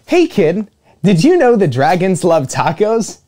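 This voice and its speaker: A man in his twenties reading a picture book aloud to children as a storytime host, playful, with dramatic emphasis and pauses. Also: sits high, huge pitch swings, mellow. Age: 20s. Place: small indoor room with home studio gear.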